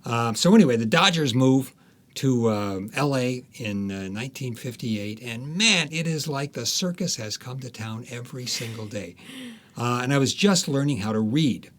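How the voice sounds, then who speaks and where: A man's voice: mid-range voice, animated, normal speed. An American man in his seventies from Los Angeles, an author reminiscing casually in an interview. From Los Angeles, United States. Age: 70s.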